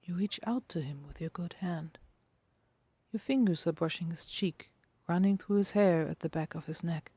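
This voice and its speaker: A woman narrating a dramatic fiction reading in a hushed, intimate manner with deliberate phrasing. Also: on the low side, breathy edge, no room sound. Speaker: podfic narrator.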